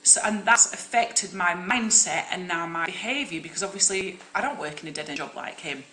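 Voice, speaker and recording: Acting coach, young woman, bright forceful voice, loud projecting delivery, echoey room. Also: on the low side, lively intonation, brisk, a little hiss or hum. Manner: loud, projecting, direct and encouraging. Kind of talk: live-streamed teaching talk.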